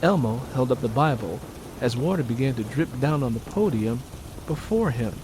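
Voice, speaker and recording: A man's voice: mid-range voice, expressive, moderate pace, background noise. A man reading a scripted story as an audiobook narrator, in a dramatic storytelling style.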